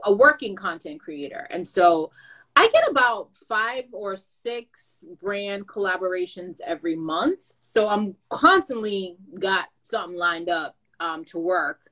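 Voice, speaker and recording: A woman who is a content creator and brand manager, giving advice in a lively, chatty way with playful asides. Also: medium pitch, poor audio. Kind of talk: casual advice talk.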